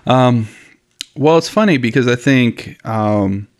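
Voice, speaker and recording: A man's voice: medium pitch, takes it slowly. A young man from Miami whose family is from Honduras, co-hosting a podcast in a laid-back chat, saying dude and you know a lot and laughing easily. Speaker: podcast co-host. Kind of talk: casual chat.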